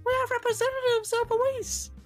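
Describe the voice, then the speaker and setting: A man voicing a gruff, streetwise male agent who bosses his young partner around. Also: very high voice, flat delivery, fairly quick, faint music behind, pitched above the performer's own voice. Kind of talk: improvised game voice acting.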